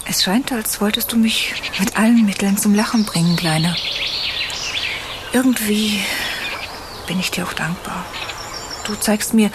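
A woman playing a sad, lonely grown woman in an audio story, talking softly in a half-whisper. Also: mid-range voice, noisy background, lightly acted. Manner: soft, hushed, half-whispered.

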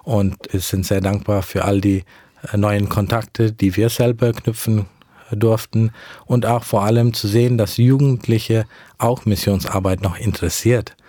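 A man's voice: lowish voice, steady tone, dark tone, no room sound, studio quality. A young man whose first language is English, answering interview questions about mission work in careful, hesitant speech. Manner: earnest, measured, with frequent hesitations. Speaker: mission worker.

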